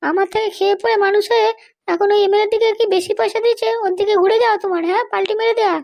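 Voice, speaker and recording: A woman's voice: extremely high pitch, fairly even intonation, rapid-fire, gravelly, darkish tone. A woman voicing a haughty, threatening female political boss in a comedy cartoon, bossy and indignant with a childlike voice. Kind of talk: Bengali comedy cartoon dialogue.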